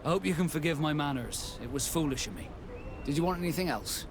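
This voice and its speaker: Reserved sailor type in a fantasy game, short plain sentences, clear outdoor noise behind him. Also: male voice, on the high side, animated, quick pace. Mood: contrite. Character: reserved, gruff seafarer, apologetic and plain-spoken. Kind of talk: fantasy video game acting. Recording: noisy outdoor urban ambience.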